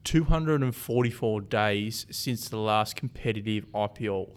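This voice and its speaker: This is a man hosting a sports podcast. His voice is smooth and clean. He talks casually and loosely, and he often doubles back to correct himself. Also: on the low side, unhurried. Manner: relaxed, rambling, self-correcting. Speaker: podcast host.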